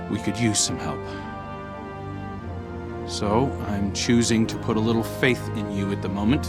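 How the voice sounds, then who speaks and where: A weary commander in a game cutscene. His voice is low and unhurried, with dry, plain-spoken understatement. Loud music runs beneath him. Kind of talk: video game cutscene acting.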